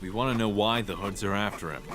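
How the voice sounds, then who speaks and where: A man's voice: lowish voice, fast talker, background noise, natural voice. A man playing a blunt, suspicious police inspector in an audio crime drama. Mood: businesslike, suspicious.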